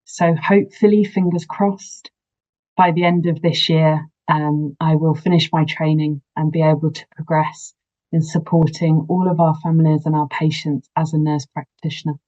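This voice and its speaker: A woman, a nurse giving a measured conference talk over a video call. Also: sits low, flat delivery, warm tone, highs cut off. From New Zealand. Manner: measured, formal greeting in Māori. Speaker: nurse practitioner candidate. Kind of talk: conference presentation.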